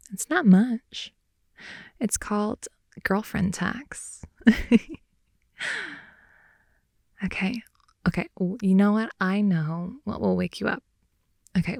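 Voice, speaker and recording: Woman playing a creaky, unhurried, playful girlfriend. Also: mid-range voice, dry recording, clean studio sound. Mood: teasing, sleepy, then hushed, spooky, tender. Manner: rambling, giggly, teasing, with hushed asides. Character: playful, clingy, goofy girlfriend rambling at bedtime. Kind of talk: improvised ASMR girlfriend roleplay, intimate casual chat. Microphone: close mic, dry.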